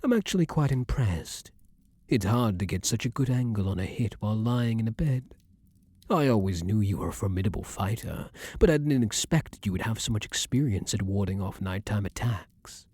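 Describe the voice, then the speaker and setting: A man performs a vampire king in an intimate ASMR roleplay, with a low, mellow voice recorded close and dry. He speaks in formal, archaic courtly phrases, measured and tender toward a former enemy. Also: animated, husky, no echo. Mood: wry, admiring.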